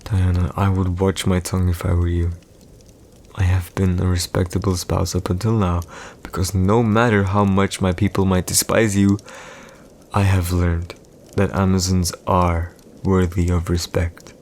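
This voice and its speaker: Man acting a deep, slow, haughty villain emperor. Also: little pitch movement, a little mellow, a little hiss or hum. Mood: grave, admiring. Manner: formal, archaic, measured, condescending diction. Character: haughty villain emperor, commanding, teasing new husband. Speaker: voice actor in audio roleplay. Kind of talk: ASMR boyfriend audio roleplay acting.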